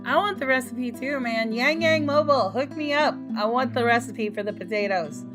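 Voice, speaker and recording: A woman hosting a gaming video, reading aloud and commenting in a casual, expressive way. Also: fairly high pitch, normal speed. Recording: voice over guitar game music.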